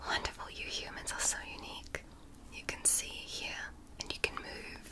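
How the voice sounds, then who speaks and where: A woman whispering as a gentle alien doctor. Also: close to an everyday voice. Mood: soothing curious. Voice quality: breathy whisper. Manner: slow, soft, clinical and fascinated. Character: curious gentle alien doctor examining a human. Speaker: ASMR creator. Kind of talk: whispered ASMR medical roleplay. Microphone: close sensitive microphone.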